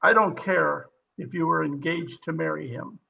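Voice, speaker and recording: A man playing a skeptical, brusque police captain in an old-time radio mystery, with a rough, demanding delivery. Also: on the high side, normal speed, sharp tone, a little hiss or hum, full character voice, low-quality recording. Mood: skeptical, impatient. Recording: home video-call recording.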